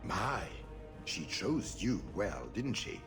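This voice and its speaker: An actor playing a smug, menacing aristocratic villain in a video game, condescending and drawling. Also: male voice, sits low, sing-song, takes it slowly, music in the background, full character voice. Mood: smug menace.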